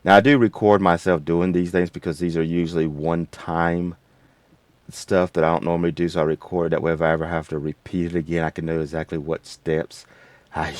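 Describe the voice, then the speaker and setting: A man hosting a casual, unscripted software tutorial in a rambling, folksy way. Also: sits low, no echo. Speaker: tutorial host.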